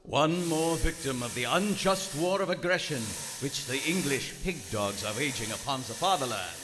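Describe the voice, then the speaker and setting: Male actor as stern German submarine captain, pompous, animated intonation, radio play, large echoey theater. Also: medium pitch, moderate pace, close to an everyday voice. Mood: commanding. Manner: pompous, formal, commanding. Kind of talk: live radio theater acting and narration. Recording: echoey theater hall, music, hiss.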